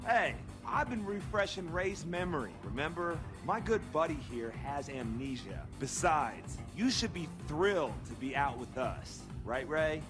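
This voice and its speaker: Cocky wrestler, bragging, self-satisfied, slightly high voice, game cutscene, music behind. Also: male voice, expressive, measured pace, few pauses. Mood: smug, domineering. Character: boastful, smug young male All-American wrestler. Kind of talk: video game cutscene acting.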